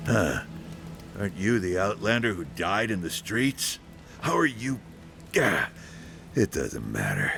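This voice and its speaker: An actor playing a menacing, contemptuous male thug with a gravelly voice in a video game. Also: on the low side, very animated, slow, long pauses, sharp tone, soft background music, exaggerated voice.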